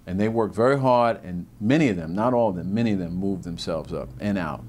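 A man who grew up in New York, speaking earnestly and in a measured way in a documentary interview. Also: low voice, a little mellow.

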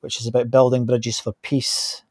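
A man's voice: medium pitch, monotone, normal speed, a little mellow, slightly rough, dry recording, studio quality. A man in his fifties hosting an online interview in an informal, appreciative, conversational way. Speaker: host of an online interview show. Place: small home studio, indoors. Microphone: close studio microphone on a stand.

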